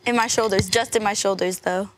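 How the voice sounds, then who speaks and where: A woman's voice: medium pitch, steady tone, fairly quick, slight background noise. A young woman from the San Francisco Bay Area, chatting as a singer guest in an interview, laughing easily and saying "like" a lot. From United States (San Francisco Bay Area). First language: French. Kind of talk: casual interview.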